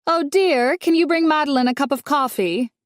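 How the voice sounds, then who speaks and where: Posh older lady, a woman doing her, high and loud, sugary, dear and darling everywhere, dry close sound. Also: moderate pace, no echo, natural voice. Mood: sweetly condescending. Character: wealthy older woman, haughty condescending mother-in-law.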